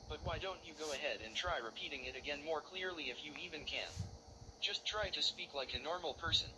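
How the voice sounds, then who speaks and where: A man hosts a commentary video, talking over clips in a taunting, insulting way. His voice sits a little on the high side, with a faint hiss of noise behind it. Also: fairly quick. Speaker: commentary channel host.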